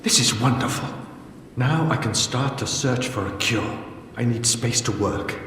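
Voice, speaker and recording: An actor playing an earnest, idealistic healer-scholar in a fantasy game, halting and obsessive. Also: male voice, mid-range voice, fairly bright tone, lightly acted. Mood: eager. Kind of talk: fantasy game dialogue acting. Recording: very echoey hall, faint noise.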